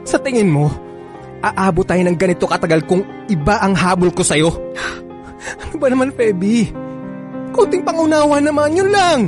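A man's voice: sits high, animated, moderate pace, long pauses. An evasive married lover in a Filipino radio drama. He pleads and placates, defensive and emotional, over faint background music. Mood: defensive, upset.

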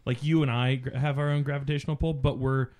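A man's voice: mid-range voice, flat delivery, fast, darkish tone. A man co-hosting a casual film commentary, explaining things in a geeky, profane way. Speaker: podcast co-host.

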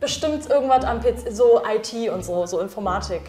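Easygoing young woman, slightly high voice. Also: expressive, a little fast, darkish tone, soft background music. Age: 20s. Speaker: guessing contestant. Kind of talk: casual chat.

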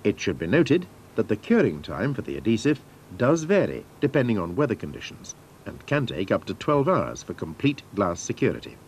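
A man's voice: fairly low pitch, huge pitch swings, normal speed, darkish tone, a little hiss or hum. A man narrating scripted instructions for a training film in a formal, methodical manner.

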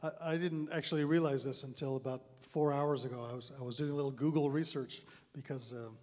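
A man's voice: medium pitch, fairly even intonation, a little fast, darkish tone. A middle-aged man hosting an interview on a concert hall stage, affable and self-deprecating, asking long questions into a handheld mic. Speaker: onstage interviewer, host. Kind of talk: onstage interview.

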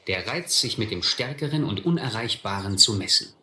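A reflective storyteller recalls his life as a young vagabond in a scripted radio play. His voice is rather low yet very bright and forceful, in a roomy recording. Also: expressive, normal speed, a little hiss or hum. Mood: reflective, grave. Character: reflective adult male narrator recalling his vagabond youth. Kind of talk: scripted first-person narration in a radio drama.

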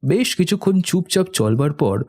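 A man's voice: a little high, sing-song, normal speed, darkish tone. A man narrating a scripted horror cartoon story in a dramatic storytelling style. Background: faint music.

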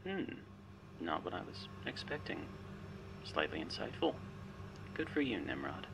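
Man playing a deep, gravelly, smug machine mastermind. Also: sing-song, unhurried, background noise, heavily acted. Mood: smug, insistent. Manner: wry, teasing, theatrical, often dropping to a whisper. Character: smug, menacing, playful artificial intelligence guiding a spy. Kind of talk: ASMR roleplay monologue.

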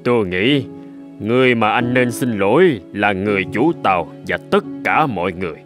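A man voicing an honest, dignified older gentleman in a cartoon morality tale. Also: fairly low pitch, expressive, moderate pace, faint music behind. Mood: calm, earnest.

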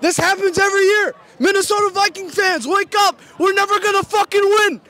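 A man's voice: very high voice, fairly even intonation, a little fast, bright, forceful tone. A young man, a sports fan, ranting furiously and profanely in a street interview at night, into a handheld mic. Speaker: fan interviewed after a game. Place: city street at night outdoors. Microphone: handheld mic held by interviewer.

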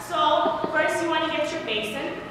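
A young woman giving a live health demonstration, speaking loudly through a handheld microphone and putting questions to the audience. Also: high voice, normal speed, noisy background. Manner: projecting, quizzing the audience. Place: large church hall. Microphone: handheld microphone, far camera. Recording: very echoey hall, from far off.

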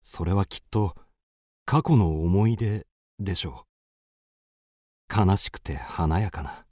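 A man's voice: deep voice, slow pace, darkish tone, dry recording, clean studio sound. An actor playing a courteous, wistful and persuasive male traveller in a radio drama, polite and poetic. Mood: earnest, wistful.

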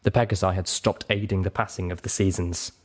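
A man narrating a story as an audiobook reader, in an expressive scripted style. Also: sits low, brisk.

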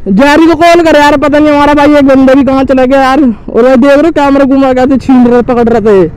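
A young man from India, excitedly narrating a motorbike ride outdoors on a city road, urging viewers to look at the traffic around him. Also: very high-pitched, brisk, rough recording. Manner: agitated, keeps calling viewers to look. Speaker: motovlogger. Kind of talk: excited riding commentary. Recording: faint traffic noise outdoors.

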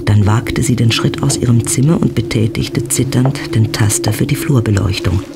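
A male actor is the storyteller of a horror audio drama. He reads scripted narration in a medium-pitched voice. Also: normal speed, gravelly, loud music behind the voice, natural voice. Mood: suspenseful. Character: storyteller of a lurid horror tale.

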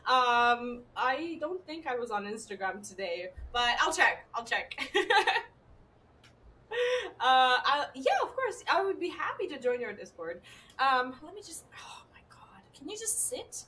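Giggly high-voiced woman streamer from Serbia. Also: very animated, unhurried, sharp tone, far from the mic, slight background noise. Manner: chatty, giggly, talks to her dog and chat. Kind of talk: casual live-stream chat while gaming. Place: home streaming room.

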